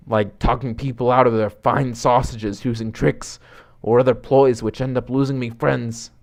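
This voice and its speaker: An insecure, emotional slacker dude from a comic audio story, acted out by a male narrator. He talks in halting, self-deprecating slang, like a needy buddy. His voice sits at a middling male pitch. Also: natural voice. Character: slacker hot-dog-obsessed male would-be friend, insecure, emotional, dude-ish.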